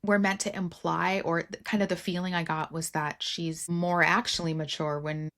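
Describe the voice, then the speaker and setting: A woman's voice: lowish voice, normal speed. A woman hosting a podcast in casual book discussion, frank and joking, peppering her talk with "like" and slipping into imagined dialogue. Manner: animated quoting and role-playing of imagined dialogue, frank and joking; uses "like" frequently and swears casually. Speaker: podcast host.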